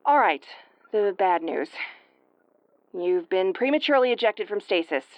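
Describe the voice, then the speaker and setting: A woman's voice: mid-range voice, expressive, a little slow, no room sound, lo-fi sound, lightly acted. A woman playing a sardonic, sweary tomboyish young starship commander in an audio roleplay, stammering when rattled but secretly tender. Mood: stunned, rueful.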